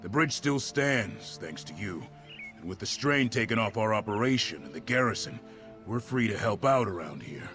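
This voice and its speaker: An actor playing a gruff, blunt male guard in a video game, with a gravelly voice. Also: lowish voice, animated, normal speed, a bit bright, faint music behind.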